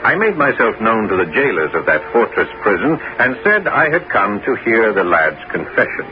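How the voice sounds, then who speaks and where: An actor in a 1970s radio drama playing an adventurous former musketeer turned bishop, courteous and gently probing, in a measured theatrical manner. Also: male voice, lowish voice, sing-song, noisy background. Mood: storytelling, resolute. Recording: dull 1970s radio recording with music.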